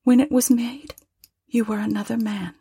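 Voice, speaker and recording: A woman doing a soft, tearful young woman. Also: fairly high pitch, flat delivery, normal speed, breathy edge, no echo, studio quality, pitched above the performer's own voice. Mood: sorrowful. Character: young woman, sorrowful and gentle but firm.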